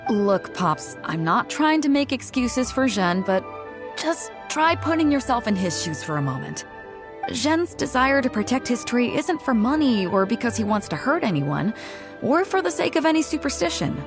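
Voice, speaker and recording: A woman's voice: a little high, sing-song, normal speed, over music. A woman voicing a confident, fair-minded ship captain in a video game, speaking in an assured, casual and persuasive way. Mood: calm, assured.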